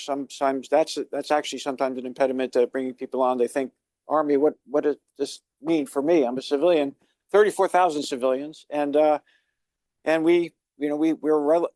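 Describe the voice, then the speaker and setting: A man speaking on a conference panel, working through his opening remarks in a halting way with frequent restarts. His voice sits at a middle pitch and sounds close and dry. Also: a little mellow, no echo. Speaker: Army Corps of Engineers official on a panel. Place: conference forum.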